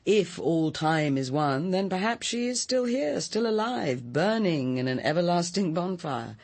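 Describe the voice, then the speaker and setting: An actor playing a reserved, unsentimental British character in a radio drama, with a dry and measured manner. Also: male voice, high voice, big pitch swings, exaggerated voice. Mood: reflective.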